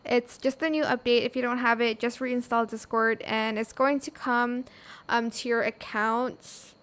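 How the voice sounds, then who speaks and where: A woman hosting a casual software tutorial, talking viewers through the steps. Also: fairly high pitch, fairly even intonation, measured pace. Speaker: tutorial host. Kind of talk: casual screen tutorial narration.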